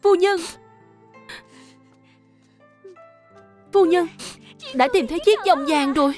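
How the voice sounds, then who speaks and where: A woman voicing an anxious, devoted little servant girl in a historical cartoon, pleading and excitable. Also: very high-pitched, normal speed, soft background music. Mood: urgent, excited. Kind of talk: animated historical cartoon dubbing.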